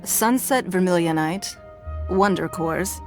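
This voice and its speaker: A woman playing a poised, wealthy female ruler who speaks in a measured, formal, authoritative way. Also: on the low side. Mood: commanding. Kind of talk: game voice acting. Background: game music.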